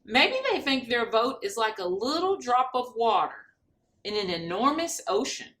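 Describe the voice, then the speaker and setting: A woman reading a picture book aloud to children, expressively, pausing to explain what the pictures show. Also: fairly high pitch, sharp tone. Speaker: guest storybook reader. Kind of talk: children's read-aloud narration.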